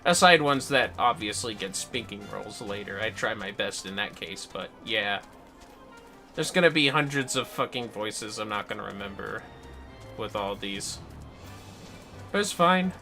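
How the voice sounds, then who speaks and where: A man's voice: lowish voice, animated, normal speed, sharp tone, faint music behind. A man giving casual, playful, sweary commentary on a gaming livestream, prone to exclamations.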